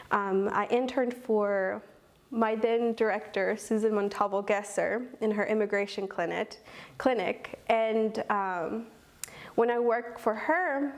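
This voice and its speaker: A young woman in her twenties from Kentucky, a program coordinator, answers interview questions earnestly, with many ums. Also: mid-range voice. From Owensboro, Kentucky.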